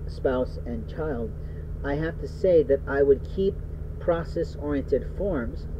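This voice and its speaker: A man giving an instructional employee training monologue in a didactic way, with long-winded formal phrasing. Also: mid-range voice. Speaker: business trainer. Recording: muffled lo-fi audio with loud hum.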